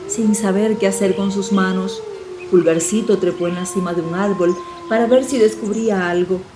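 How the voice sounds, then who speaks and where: A woman storyteller reading a scripted fairy tale in an expressive, measured way. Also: medium pitch. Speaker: audiobook storyteller.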